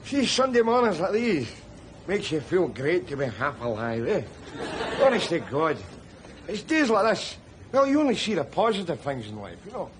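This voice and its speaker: An actor playing a sardonic, rambling middle-aged down-and-out man, who breaks into shouts. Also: high-pitched, very animated, moderate pace, a faint crowd, slight background noise. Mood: wry rambling. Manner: wry, rambling, bursts into shouts. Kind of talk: screen drama acting.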